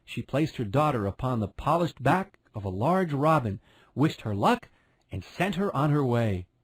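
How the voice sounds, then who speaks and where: A man's voice: medium pitch, big pitch swings, moderate pace, husky, no room sound. A man narrating a fairy tale as a scripted storyteller.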